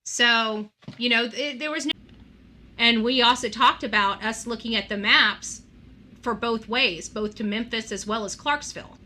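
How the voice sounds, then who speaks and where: A woman runs a casual live call-in talk show. Her voice sits on the higher side with a very bright, forceful edge. She speaks bluntly and gives her opinion freely. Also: measured pace.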